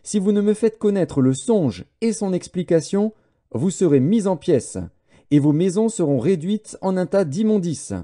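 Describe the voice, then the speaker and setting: A man's voice: high-pitched, animated, moderate pace, dark tone, voice pushed up. A man reading the words of a troubled, suspicious king who issues commanding threats, in a dramatised scripture reading. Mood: stern, threatening.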